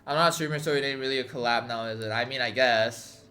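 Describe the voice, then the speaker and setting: A man's voice: mid-range voice, quick pace, sharp tone, slight background noise. A young man chatting casually on a live stream, rambling with many fillers and swearing freely. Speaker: livestreamer.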